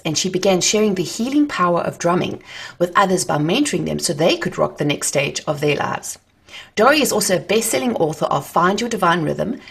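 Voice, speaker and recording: A woman's voice: lowish voice, vocal fry, slightly rough. A woman hosting an interview, speaking in an upbeat, encouraging way about faith. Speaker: host. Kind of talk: interviewing a guest.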